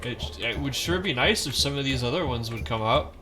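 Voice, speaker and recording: A man's voice: medium pitch, animated, fairly quick, music in the background. A man casually commenting as he plays a game, wry and self-deprecating, with half-mumbled asides. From not from Maine. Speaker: gaming streamer.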